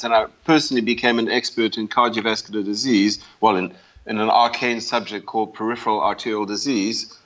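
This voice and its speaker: A man's voice: mid-range voice, a bit bright. A middle-aged man from South Africa telling his story as an interview guest in a chatty, self-deprecating way. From South Africa, after a childhood moving between countries. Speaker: interview guest, medical company CEO. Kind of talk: conversational storytelling. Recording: slightly dull, lo-fi connection.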